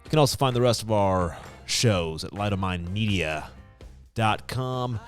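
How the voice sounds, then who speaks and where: An American man hosting a comedic podcast in a casual, joking and theatrically expressive way. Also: on the low side, a little slow, faint music behind. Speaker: podcast host.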